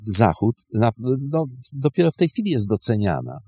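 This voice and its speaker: A man giving spontaneous historical commentary as a guest historian, halting as he searches for words. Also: lowish voice, wildly swooping pitch, a little mellow, slightly rough, no room sound, studio quality.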